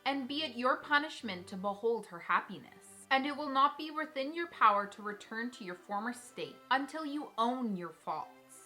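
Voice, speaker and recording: A woman's voice: a little high, pushed and bright. A woman reading out a stern, judging fairy from a storybook in a formal, solemn manner. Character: stern, judging fairy pronouncing reward and punishment.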